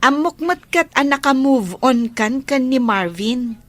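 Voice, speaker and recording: A woman's voice: high-pitched, expressive, measured pace, fairly bright tone, no echo. A woman acting as a teasing, outspoken friend giving love advice in an audio drama, with an Ilocano accent. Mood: teasing, insistent.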